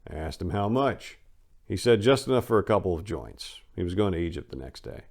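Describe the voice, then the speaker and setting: A man's voice: low voice, sing-song, fairly quick, gravelly, darkish tone. A man giving a dramatic reading of a first-person story as an audiobook narrator, in a colloquial, salty and emphatic way.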